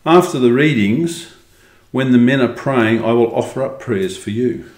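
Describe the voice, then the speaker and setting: A man's voice: mid-range voice, moderate pace, a little mellow, lightly acted. Guy doing a devout anxious older man in a storybook, raised pleading voice, echoey room. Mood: gentle, earnest. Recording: roomy, echoing sound.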